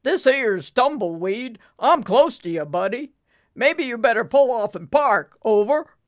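Female narrator as male cowboy trucker, helpful, folksy, forceful, radio call, storybook character. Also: fairly high pitch, animated, measured pace, voice pushed up. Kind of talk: audiobook storytelling.

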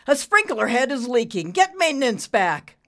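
An arrogant bank boss played by a woman barks out his lines with loud, demanding force. His pitch jumps around as he bullies and dismisses people, in the manner of an audiobook villain. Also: very animated, unhurried. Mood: angry, shouting. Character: rude, bullying, arrogant male bank boss. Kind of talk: audiobook character voice.

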